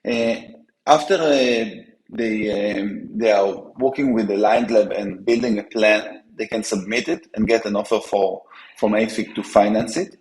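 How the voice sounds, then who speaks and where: A man's voice: fairly low pitch, fairly even intonation, darkish tone. Israeli man, echoey room. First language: Hebrew. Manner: halting, frequent fillers and restarts. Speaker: company co-founder guest. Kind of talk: business interview.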